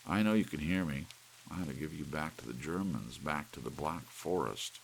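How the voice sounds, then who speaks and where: A man's voice: extremely low pitch, normal speed, gravelly. A man voicing a bitter, menacing husband in an audiobook, demanding and taunting, with rain and hiss behind him. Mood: accusing, demanding. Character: bitter, menacing husband talking angrily to his clock.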